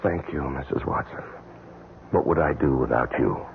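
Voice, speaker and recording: A man playing a smooth, scheming rich widower with a suave, theatrical, insincerely solemn manner in an old-time radio mystery. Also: rumbling low, moderate pace, slight background noise. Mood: feigned grief. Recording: muffled narrowband radio drama, music behind.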